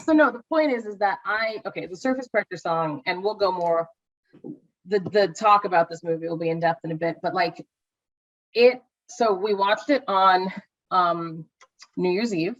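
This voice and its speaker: A chatty woman co-hosting a podcast, talking about her children with eager interjections. Her voice sits low for a woman. The recording is dull and lo-fi. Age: adult with children. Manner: chatty, enthusiastic, quick interjections. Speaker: podcast co-host. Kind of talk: casual chat. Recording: dull lo-fi sound.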